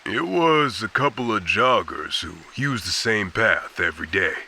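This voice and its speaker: A man's voice: fairly low pitch, animated, unhurried, bright, forceful tone, slight background noise, full character voice. An actor playing a laconic, matter-of-fact male park ranger.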